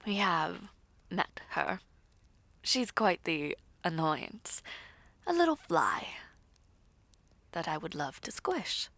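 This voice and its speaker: A woman plays an aloof royal princess in an audio roleplay, speaking very slowly in a lowish voice with plenty of creak. Her delivery is formal, blunt and breathy, sometimes nearly whispered. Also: very animated, fairly bright tone, no echo, exaggerated voice. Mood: disdainful. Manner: stiff, formal, measured. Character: soft-spoken, haughty royal princess, blunt yet kindly.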